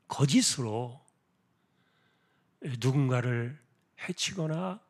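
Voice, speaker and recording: A man preaching an expository sermon as a pastor, explaining deliberately with rhetorical questions and a husky voice. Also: mid-range voice, a little mellow. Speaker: pastor preaching at a church prayer meeting.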